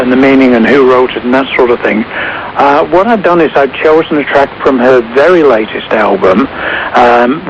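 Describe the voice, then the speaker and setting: A man giving casual music commentary as a radio guest, rambling and pausing mid-phrase. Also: mid-range voice. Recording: muffled shortwave radio with clear static. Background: shortwave static and noise.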